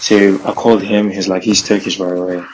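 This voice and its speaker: Low flat-voiced young man rambling. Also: a little fast, faint music behind, poor audio. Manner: rambling, fillers like "bro" and "like". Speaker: gaming vlogger. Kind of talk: casual storytelling vlog.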